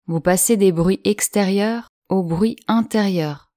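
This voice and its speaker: A woman guiding a yoga nidra relaxation in French, speaking slowly and calmly with long pauses. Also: medium pitch, no echo, studio quality. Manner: slow, calm, soothing delivery with long pauses. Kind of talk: guided relaxation instruction.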